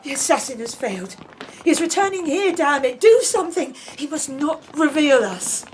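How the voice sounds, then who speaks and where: A woman's voice: sits high, big pitch swings, normal speed, a little hiss or hum. A woman playing a ruthless, vengeful royal wife in a radio drama, haughty and cutting in manner. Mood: alarmed, commanding.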